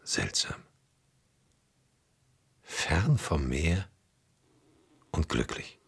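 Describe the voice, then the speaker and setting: A weary old seafaring hero in a radio play. His voice is extremely low and heavily gravelled, and he speaks in slow, brooding phrases. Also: sing-song, full character voice. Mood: solemn recollection. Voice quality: gravelly, husky. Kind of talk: German radio drama acting.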